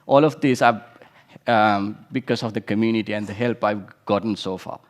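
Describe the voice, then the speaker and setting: A man's voice: lowish voice, steady tone, moderate pace. A man in his thirties from Sri Lanka, giving an informal conference talk with frequent restarts and fillers. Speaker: conference speaker.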